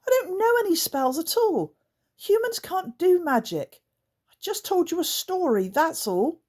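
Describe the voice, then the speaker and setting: A woman's voice: very high voice, big pitch swings, unhurried, a little mellow, pitched above the performer's own voice, exaggerated voice. A woman voicing Lucy, a young girl who is upset but stubborn and determined. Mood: earnest. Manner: sobbing then stubborn.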